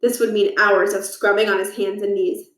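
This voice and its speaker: A woman narrating a children's book aloud, with expressive storytelling and deliberate emphasis. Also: medium pitch. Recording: roomy echo, distant from the mic.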